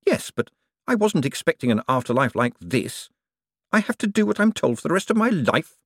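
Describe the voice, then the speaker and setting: Audiobook role: indignant male swindler played by a male narrator, exasperated, sarcastic, animated pitch, dry studio sound. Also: normal speed, no echo. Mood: bitterly sarcastic. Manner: exasperated, protesting. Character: harried, indignant male con artist, quick-tongued and sarcastic.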